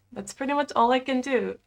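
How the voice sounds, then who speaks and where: Young woman streamer, casual chat from her bedroom, bright-ish higher voice, rambling "like" fillers. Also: gravelly. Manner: chatty, lots of "like" fillers, frequent laughs. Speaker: livestream host. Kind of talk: casual chat reading viewer comments. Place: small bedroom at home.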